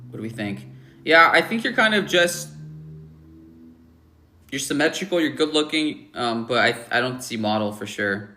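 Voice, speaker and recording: A young man talking through a livestream mic with a bright, forceful voice. He gives blunt, offhand verdicts full of ums and restarts. He teases his chat in an easy, casual way. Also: medium pitch, expressive, moderate pace, long pauses. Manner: joking, teasing the chat. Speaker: livestream host rating viewers' photos. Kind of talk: casual live-stream chat.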